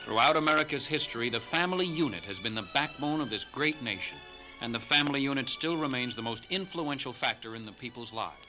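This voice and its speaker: A man's voice: mid-range voice, lively intonation, quick pace, music in the background, poor audio. A man narrating a 1960s propaganda filmstrip in an earnest, solemn, moralizing manner, on an old soundtrack.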